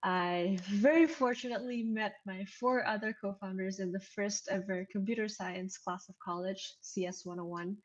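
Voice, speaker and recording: A young woman from Indonesia speaks at a middle pitch, her slightly dull call audio losing some crispness. She tells her story in a steady, matter-of-fact way, like a founder answering an interviewer. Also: normal speed, hardly any pauses. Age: young adult. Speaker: startup co-founder guest.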